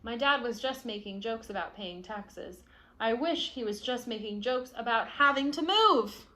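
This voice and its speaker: A woman reading a children's picture book aloud expressively, with soft explanatory asides for young listeners. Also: fairly high pitch, lively intonation, normal speed. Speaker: read-aloud storyteller.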